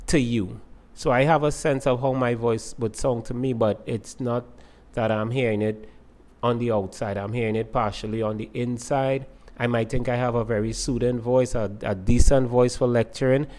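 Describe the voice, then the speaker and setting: A man's voice: medium pitch, darkish tone. A man lecturing to students, explaining ideas step by step and repeating phrases for emphasis.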